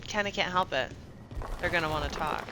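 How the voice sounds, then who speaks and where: A woman's voice: lowish voice, normal speed, noisy background. A woman giving casual live commentary as she plays a video game, often muttering short reactions to herself.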